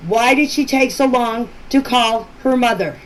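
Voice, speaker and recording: A woman leaving informal voice messages for a group chat, explaining things in a halting, repetitive way. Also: a little high. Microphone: phone. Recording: noisy phone voice clips.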